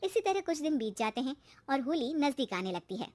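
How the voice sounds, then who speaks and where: A woman narrates a scripted cartoon story. Her voice sits high, and she tells it as a storyteller would. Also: big pitch swings, brisk, a little mellow.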